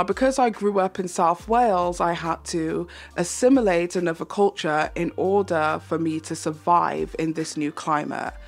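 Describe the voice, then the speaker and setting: Young woman, English accent, lowish voice, handheld mic, bedroom, explanatory commentary. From England. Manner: measured, explanatory. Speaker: YouTube commentator. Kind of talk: commentary think piece to camera.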